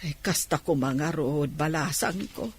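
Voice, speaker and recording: Woman playing a slow, husky elderly Filipino aunt. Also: sits low, dry recording, full character voice. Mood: grateful. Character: elderly injured village aunt, grateful and aggrieved. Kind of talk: Ilocano radio drama acting.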